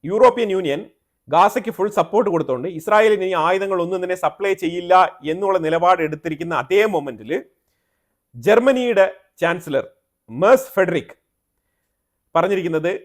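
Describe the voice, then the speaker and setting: A man's voice: high-pitched, expressive, fairly quick, long pauses. A man with a Malayali accent presenting explanatory news commentary, often rephrasing himself and mixing in English terms.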